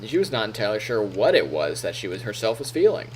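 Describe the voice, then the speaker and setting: A man's voice: lowish voice, sing-song, quick pace, a little hiss or hum. A male streamer reading a visual novel aloud expressively as narrator, on a close microphone. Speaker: live streamer. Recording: music faintly behind.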